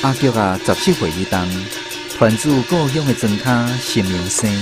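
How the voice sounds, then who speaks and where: A man's voice: lowish voice, expressive, moderate pace. A man with a Taiwanese accent narrating a radio drama as a storyteller. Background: music.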